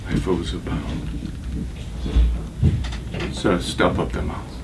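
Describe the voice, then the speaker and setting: A man's voice: deep voice, level tone. An actor playing a vengeful, grief-maddened Roman father in a declamatory Shakespearean stage monologue. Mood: grim, controlled. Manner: declamatory, deliberate, emphatic. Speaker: actor in a workshop. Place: acting workshop, indoors. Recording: distant mic, clearly noisy workshop room.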